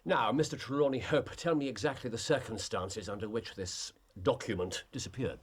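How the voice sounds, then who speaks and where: An actor playing an incisive, aloof gentleman detective with an upper-class English accent, clipped and probing, in a period drama. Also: medium pitch, normal speed, husky, lightly acted.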